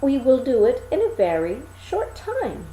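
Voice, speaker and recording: Slow, solemn spinner woman voiced by a reader. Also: sits high, huge pitch swings, warm tone, echoey room, heavily acted, poor audio. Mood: solemn, insistent. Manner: measured, drawn-out, archaic phrasing. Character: strange spinner women, bargaining with solemn insistence.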